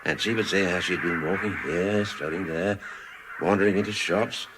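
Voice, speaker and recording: A man's voice: low voice, fairly even intonation, natural voice. Man acting a mournful, theatrical bird, noisy background. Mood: wistful. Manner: halting, wistful, theatrical. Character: mournful, dramatic male bird sworn off walking. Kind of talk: radio comedy drama acting.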